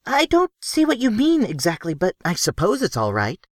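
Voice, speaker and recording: A man's voice: huge pitch swings, moderate pace, dry recording, clean studio sound, heavily acted. A man doing Brucklebaden, a rowdy female gnome. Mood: chatty. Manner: high, bubbly and boisterous. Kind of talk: audiobook character voice.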